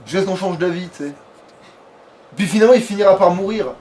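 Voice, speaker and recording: High-voiced casual male gamer, distant mic. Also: fast, crowd noise. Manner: swearing, colloquial, joking. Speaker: let's play gamer. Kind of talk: casual gaming commentary. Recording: distant mic, noisy background.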